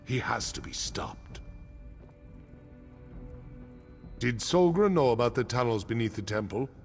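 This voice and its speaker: Gruff orc warlord, low and gravelly, widely swinging intonation, resolute, fantasy game, faint music behind. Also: male voice, very animated, moderate pace. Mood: grieving, angry. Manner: gruff, solemn, emphatic. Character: grim, resolute male orc clan chief. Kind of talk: fantasy video game acting.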